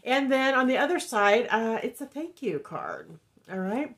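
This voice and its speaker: A woman talks into a desk camera at home. Her slightly high voice comes out nasal from a lingering head cold. She rambles casually, filling gaps with ums and reading little notes aloud. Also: very animated, a little slow, fairly bright tone. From Indiana, USA. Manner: chatty and rambling, many ums, reads cards aloud. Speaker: wax melt reviewer. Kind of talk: casual haul vlog chat. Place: home room, indoors.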